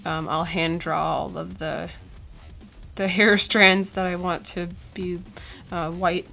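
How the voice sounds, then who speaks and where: A woman's voice: on the low side, slow pace, vocal fry, faint music behind. Young woman with a tired, cold-worn voice. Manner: relaxed, chatty, with many ums and uhs. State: voice weak after a cold. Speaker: art tutorial host. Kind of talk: casual drawing tutorial voice-over. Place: indoors at a drawing desk.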